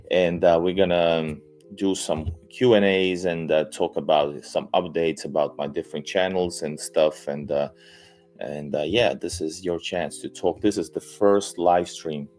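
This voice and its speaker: Very deep-voiced hesitant man. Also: fairly even intonation, a little slow, a little mellow, faint music behind. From Finland. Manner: hesitant, many fillers and restarts. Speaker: live stream host. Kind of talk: casual live stream chat.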